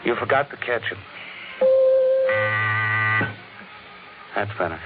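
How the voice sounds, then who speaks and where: A man's voice: on the low side, expressive, fast, phone-quality sound, very noisy, lightly acted. A man playing a middle-aged husband and father, uneasy and firm, in an old-time radio drama. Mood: resigned, reassuring. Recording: thin, band-limited old radio recording, music.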